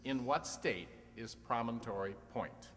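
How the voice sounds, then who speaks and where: A man's voice: medium pitch, a little slow, close to an everyday voice. A man playing an old-time radio quiz show host, putting questions to contestants in a reverberant hall. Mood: measured. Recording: echoey hall, long reverb.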